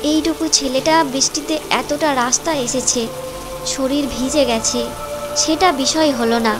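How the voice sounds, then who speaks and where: A woman narrates a moral cartoon tale in a fairly high voice. Her delivery is scripted storytelling, laid over faint background music. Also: measured pace.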